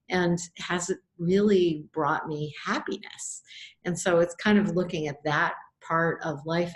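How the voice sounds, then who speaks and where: A middle-aged woman co-hosting a podcast, musing thoughtfully in casual chat and laughing often. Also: on the low side. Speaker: podcast co-host.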